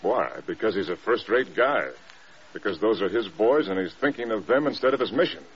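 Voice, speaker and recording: An actor playing a frank, level-headed American air force staff officer in a radio drama, plain-spoken. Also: male voice, on the low side, expressive, fairly quick, slightly rough. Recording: dull, noisy old radio recording.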